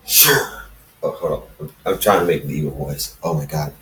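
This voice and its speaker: A man reading amateur fan fiction and chatting to viewers in a halting, self-deprecating, rambling way. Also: extremely low pitch, steady tone. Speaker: fan fiction reader, video host. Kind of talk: amateur story reading and casual vlog chat. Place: dark room, in front of camera. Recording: roomy echo, distant mic.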